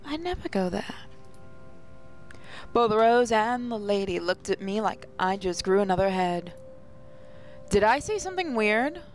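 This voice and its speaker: A woman's voice: mid-range voice, animated, measured pace, pauses often, soft background music. A woman hosting a gaming channel, reading a visual novel aloud with playful, sarcastic asides.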